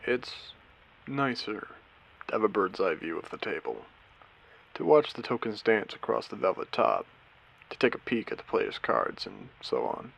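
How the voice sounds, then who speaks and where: A man playing a courteous, eerie AI who hosts an abandoned post-apocalyptic casino in an audio drama, speaking theatrically with deliberate pauses. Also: big pitch swings, a bit bright, exaggerated voice, low-quality recording. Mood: suave, welcoming. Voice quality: low, creaky voice. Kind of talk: scripted character audio drama monologue.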